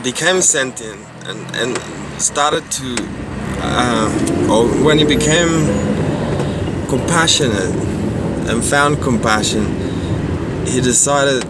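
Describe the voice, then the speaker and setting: A man improvising a rambling story for a vlog in a halting way, often repeating and restarting his phrases. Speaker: vlogger. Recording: distant mic, loud ambient rumble.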